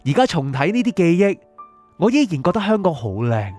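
A man's voice: high-pitched, very animated, a little fast, darkish tone, faint music behind. A man playing a recently dead, reflective young man at peace with letting go, in a radio drama. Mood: nostalgic. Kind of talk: Cantonese radio drama acting and first-person narration.

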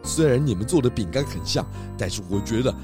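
A performer voicing a calm, patient pig detective in a children's radio drama. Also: mid-range voice, fast, close to an everyday voice. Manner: warm, patient, reassuring. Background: background music.

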